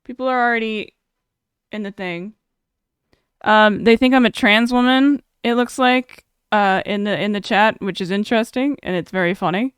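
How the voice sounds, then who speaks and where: Chatty young woman streamer, smooth voice. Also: medium pitch, moderate pace, dry recording, clean studio sound. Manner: chatty, laughs often, reads chat aloud. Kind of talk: casual live-stream chat.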